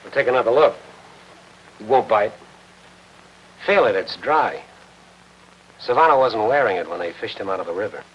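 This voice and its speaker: A man's voice: lowish voice, animated, normal speed, pauses often, a bit bright, breathy edge, dull audio. A middle-aged American man playing a hard-boiled newspaper reporter in a 1950s TV drama, terse, blunt and sardonic. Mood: probing. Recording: noisy old 1950s television soundtrack.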